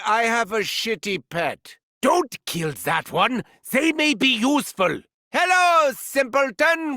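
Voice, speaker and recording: An actor playing a gleeful, sadistic mad scientist villain in a video game, theatrical and taunting, with a German accent. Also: male voice, very high-pitched, sharp tone, no room sound, studio quality, heavily acted. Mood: playful, taunting. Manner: theatrical, swooping from gleeful shrieks to sinister murmurs. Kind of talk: video game character voice lines.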